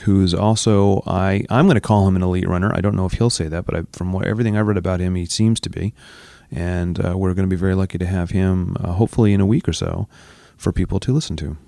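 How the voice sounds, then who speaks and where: Low gravelly guy hosting a podcast, dry close sound, teasing and rambling. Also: fairly even intonation, fast talker, warm tone, no echo. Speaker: podcast co-host. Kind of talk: casual chat. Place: studio.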